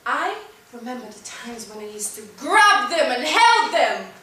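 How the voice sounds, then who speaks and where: Echoey, high-voiced, passionate stage actress played by a woman. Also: sing-song, bright, forceful tone, full character voice. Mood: impassioned yearning. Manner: dramatic, halting delivery with bursts. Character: passionate, yearning stage actress mourning her lost gift. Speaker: drama student performing an exam monologue. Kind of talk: theatrical stage monologue. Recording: very echoey room, distant mic, faint noise.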